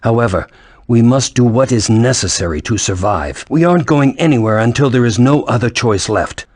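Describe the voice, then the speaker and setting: An actor voicing a solemn middle-aged leader and father in a video game, speaking with formal, measured authority. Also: medium pitch, lively intonation, darkish tone, no echo, lightly acted.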